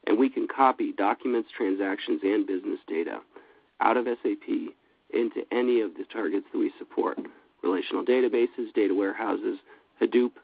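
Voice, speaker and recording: A low, gravelly man with a cold presents at a steady, businesslike pace, with frequent fillers. The sound is thin and phone-like and very dry. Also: no room sound. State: has a cold. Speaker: webinar presenter. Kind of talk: scripted product presentation.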